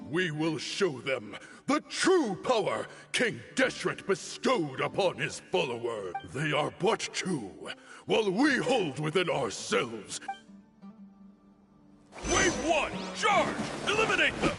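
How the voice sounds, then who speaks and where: A defiant desert warlord from a video game, with widely swinging pitch and slow, weighty pacing. He speaks in grand, theatrical declarations, like a zealot leading his followers, with music behind him. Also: wildly swooping pitch, vocal fry, a bit bright, heavily acted. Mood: fierce rallying cries. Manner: grandiose, theatrical, solemn speechmaking. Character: proud fanatical male desert warrior leader, defiant to death. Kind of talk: dramatic English video game voice acting.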